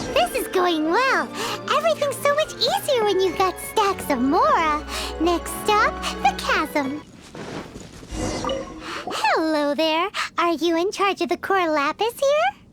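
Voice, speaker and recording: High, mischievous young merchant's son. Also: female voice, very animated, slow, long pauses, music in the background, heavily acted. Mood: cheerful, scheming. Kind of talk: scripted video game dialogue.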